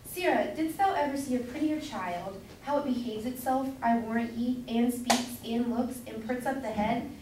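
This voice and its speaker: A woman's voice: a little high, moderate pace, hardly any pauses, close to an everyday voice. Woman as meddling citizen's wife, echoey room. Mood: coaxing, gushing. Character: chatty, meddling citizen's wife, gushing and naive. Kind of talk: staged reading of period stage comedy. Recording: echoey room from far off, faint noise.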